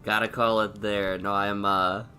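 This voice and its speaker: A man with a stuffy, sniffly nose and a sore throat, streaming a game and chatting casually while thinking aloud with pauses. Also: on the low side, level tone, bright, forceful tone, a little hiss or hum. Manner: laid-back, thinking aloud with pauses and hums. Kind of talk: casual gameplay commentary.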